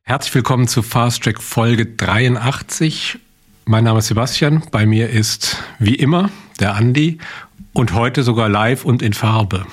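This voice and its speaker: A man hosts a casual podcast with a brisk, upbeat announcing manner. His voice sits in an ordinary middle pitch. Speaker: podcast host. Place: on site at a training course.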